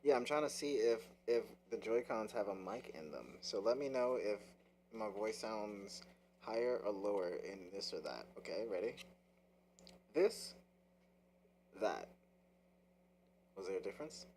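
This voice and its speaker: A brash man talks on his stream, swearing freely and repeating his points. His voice is low and mellow. A faint hum sits behind him. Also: lively intonation, a little slow, pauses often. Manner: brash, profane, repeats himself. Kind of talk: casual gaming chat. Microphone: game controller mic.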